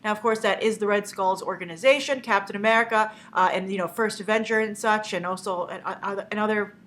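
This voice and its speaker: A woman's voice: mid-range voice, steady tone, brisk, fairly bright tone. Stuffy-nosed woman hosting movie news. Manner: chatty, many "uh" fillers, opinionated. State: stuffy from a cold. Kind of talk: casual news commentary.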